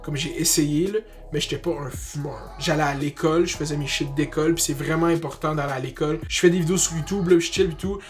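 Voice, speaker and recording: A man's voice: fairly high pitch, fairly even intonation, quick pace, few pauses. A young man with a Québécois French accent talking casually to camera as a YouTuber, in slangy speech peppered with English words. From Quebec, Canada. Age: young adult. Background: music.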